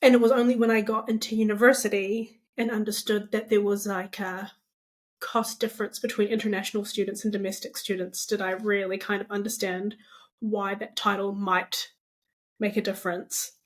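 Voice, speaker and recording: A woman's voice: mid-range voice, little pitch movement, normal speed. Young female podcast co-host, New Zealand accent, level intonation, conversational and thoughtful. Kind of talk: casual conversational podcast talk.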